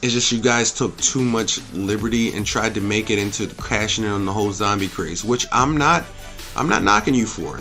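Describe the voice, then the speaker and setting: A man's voice: fairly low pitch, a bit bright. A man giving an opinionated, off-the-cuff review as a host, speaking emphatically, repeating himself and swearing freely. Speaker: movie reviewer and channel host.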